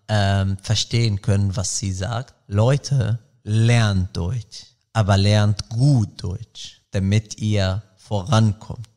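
A man's voice: lowish voice, darkish tone. A man, hosting a solo podcast in an earnest, reflective way, often searching for words. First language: Arabic. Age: 30s. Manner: earnest, searching for words, many fillers and restarts. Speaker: podcast host.

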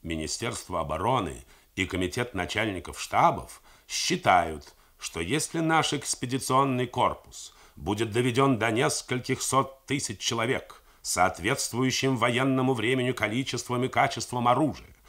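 A middle-aged man reading a novel aloud in a measured, theatrical 1980s radio studio style. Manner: measured, expressive theatrical delivery with wide pitch swings.

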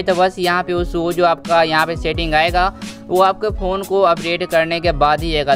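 High-pitched young man over music. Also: fairly bright tone. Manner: repetitive, addresses listeners as brother. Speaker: gaming video host. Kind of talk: casual tutorial voice-over. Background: background music.

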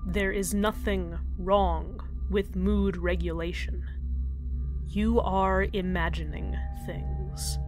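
A woman's voice: mid-range voice, slow pace, music in the background, natural voice. A woman playing a serenely cheerful radio host. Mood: eerily calm. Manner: sweetly cheerful, unsettlingly calm. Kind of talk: scripted radio drama narration.

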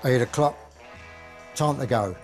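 A man's voice: medium pitch, unhurried, music in the background. Emphatic older man reminiscing about his youth. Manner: emphatic, plain-spoken reminiscing. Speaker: former motorcyclist.

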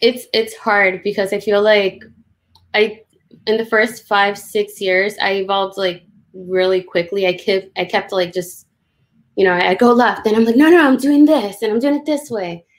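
A woman's voice: medium pitch, fairly even intonation, normal speed. Woman illustrator casually explaining her process. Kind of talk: casual commentary on a drawing demo. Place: indoors on a video call.

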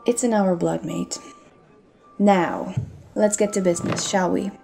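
A woman's voice: medium pitch, steady tone, unhurried, gravelly, warm tone, soft background music. A woman playing a bold, teasing pirate captain in an audio roleplay, speaking in a cocky, flirtatious manner. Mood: sly, businesslike. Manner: cocky, flirtatious, addressing listener with pet names.

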